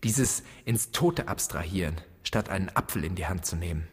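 Casting: scornful, questioning son in a radio play, lowish husky voice, long reverb around it. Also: male voice, animated, normal speed, full character voice. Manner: probing, scornful. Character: restless resentful son interrogating his absent dead father. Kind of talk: literary radio drama. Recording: long reverberant hall sound.

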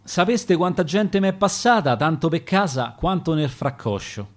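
A man with a Roman accent reciting his own rhymed sonnets on radio, in a measured, rhythmic verse delivery. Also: a little high, expressive. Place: radio studio.

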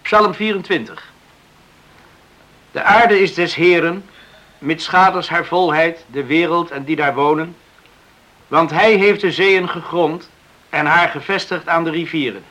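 An actor playing a plain, devout, earnest young farmhand in a radio drama. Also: male voice, a little high, measured pace, long pauses, sharp tone, low-quality recording. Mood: reverent.

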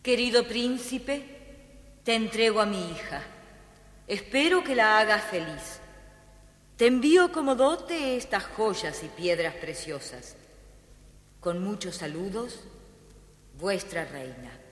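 Loving queen, stately female voice, unhurried, expressive melody, long echoing reverb. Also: mid-range voice. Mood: solemn. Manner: stately, tender, formal. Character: solemn, loving middle-aged queen mother. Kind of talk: children's audio storybook acting.

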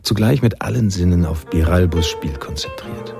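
An actor playing a reflective, nostalgic male narrator in a noir radio drama, speaking in a measured, literary manner. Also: low-pitched, big pitch swings, creaky, full character voice. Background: jazz music.

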